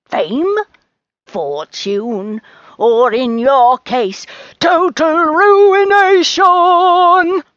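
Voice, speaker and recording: A woman's voice: mid-range voice, animated, takes it slowly, no echo, lo-fi sound. A woman playing an eccentric, brusque old woman who tells fortunes in a storybook, with theatrical, mysterious pronouncements. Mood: ominous, dramatic.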